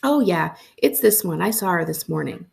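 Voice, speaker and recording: A woman's voice: fairly low pitch, animated, moderate pace, vocal fry. A woman voicing a confident, helpful middle-school girl with a casual, friendly manner in a storybook reading.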